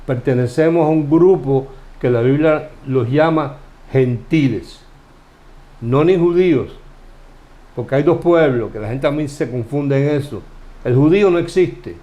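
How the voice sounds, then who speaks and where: A man from Cuba with a Cuban Spanish accent, explaining Bible passages in a relaxed study conversation, asking questions and restarting phrases as he goes. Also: on the high side, measured pace. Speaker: Bible teacher.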